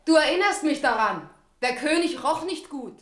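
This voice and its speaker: A woman's voice: sits high, animated, moderate pace. An actress in a German radio drama playing a proud young noblewoman, cool, dry and unimpressed. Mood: dismissive, mocking.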